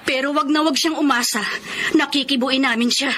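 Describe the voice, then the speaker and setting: High-voiced, polite young radio-drama heroine. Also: female voice, steady tone, brisk, sharp tone, a little hiss or hum. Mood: hurt, resentful. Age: young adult. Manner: polite, deferential to elders. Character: Chona, hurt, candid young woman, resentful daughter, polite employee. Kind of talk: Filipino radio drama acting.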